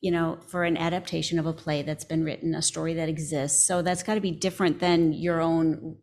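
A woman's voice: deep voice, little pitch movement, brisk, darkish tone, slight background noise. A woman working as an interviewer, asking questions in a casual, tentative, chatty way.

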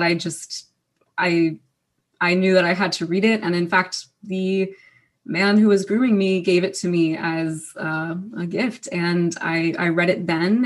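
Young woman, low flat delivery, hesitant personal story with pauses. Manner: hesitant, many fillers and pauses. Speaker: panelist.

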